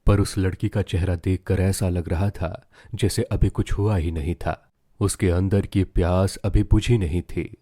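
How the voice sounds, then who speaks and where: A man's voice: deep voice, steady tone, a little slow, dark tone, no room sound, studio quality. A man narrating a scripted story as a dramatic storyteller.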